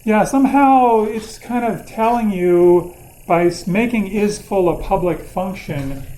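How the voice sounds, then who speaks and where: A man's voice: high voice, measured pace, warm tone, low-quality recording. A man lecturing informally to a class, thinking aloud and posing questions with playful, wry asides. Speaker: university lecturer.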